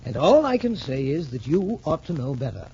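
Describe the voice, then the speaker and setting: A stern military school major in a 1940s radio play. He is formal and authoritative, slightly high in pitch, on a muffled recording with faint noise. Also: big pitch swings, moderate pace, mellow. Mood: admonishing. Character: stern, fatherly male military academy major. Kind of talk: 1940s radio drama acting.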